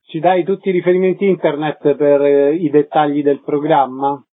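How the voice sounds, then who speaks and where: A man's voice: fairly high pitch, flat delivery, moderate pace, dry recording. A man hosting a radio interview. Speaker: radio host interviewing guests. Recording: phone-like narrow-band radio sound.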